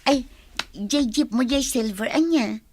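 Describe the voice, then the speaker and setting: A woman's voice: high voice, measured pace, a little mellow, a little hiss or hum. A woman acting in a radio drama as a friendly young schoolteacher, effusive and grateful in manner.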